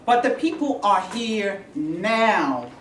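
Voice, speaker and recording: A woman's voice: medium pitch, very animated, slow pace. A woman playing a defiant, demanding movement activist in a staged play reading, with a challenging manner. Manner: insistent, challenging. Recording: echoey room, distant mic, background noise.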